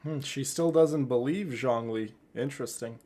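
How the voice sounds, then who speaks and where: A man's voice: medium pitch, moderate pace, warm tone. A man giving casual commentary as he plays a game, repeating phrases for emphasis. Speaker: gaming streamer.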